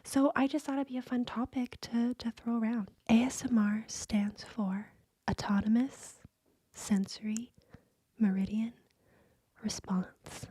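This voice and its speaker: A woman co-hosting a podcast in a soft, breathy ASMR-style whisper, chatting close to the microphone. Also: fairly high pitch, level tone, measured pace, no room sound. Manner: whispering and speaking softly. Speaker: podcast co-host.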